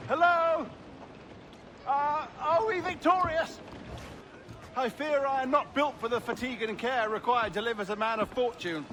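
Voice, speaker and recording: An actor playing a genteel, timid, earnest gentleman turned hapless pirate in a video game, speaking in a polite, fussy, flowery way. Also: very high voice, unhurried, slight background noise, full character voice. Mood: timid, weary. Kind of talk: cinematic video game acting.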